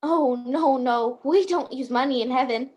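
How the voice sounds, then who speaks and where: A woman's voice: high voice, moderate pace, a little mellow, lightly acted. A woman playing a kindly, chatty, bumbling apprentice guardian angel in a radio play. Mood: earnest, cheerful. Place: at home on a video call.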